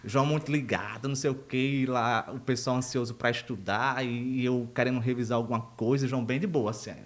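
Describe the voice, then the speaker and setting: A man's voice: medium pitch, steady tone, fairly quick. A man in his thirties, a university professor, chatting in a casual interview and wandering off into side stories. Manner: chatty and digressive, laughs at himself. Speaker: university professor, podcast guest.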